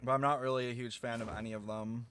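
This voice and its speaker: A man's voice: mid-range voice, little pitch movement, fast talker, fairly bright tone. A man streaming a video game, giving casual live commentary as he plays. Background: game music.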